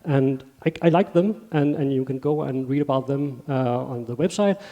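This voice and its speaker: A man's voice: mid-range voice, normal speed, dark tone, highs cut off. Danish man speaking in a reverberant hall. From Denmark. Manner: conversational, loose phrasing with frequent "uh" and self-corrections. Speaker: conference speaker. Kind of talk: technical conference talk. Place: conference stage in a large hall.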